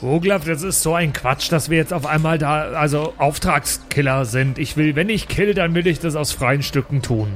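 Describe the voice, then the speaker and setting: A man's voice: fairly high pitch, moderate pace, hardly any pauses, faint music behind. A man playing in an improvised pen-and-paper audio play, bantering casually and playfully with lots of puns.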